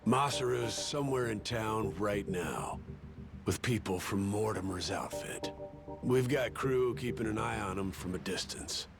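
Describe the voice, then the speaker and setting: A man's voice: on the low side, a little slow, creaky. A man playing a wary, hard-bitten middle-aged pirate crewman with a grave, plain-spoken delivery in a video game cutscene. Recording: game music behind the voice.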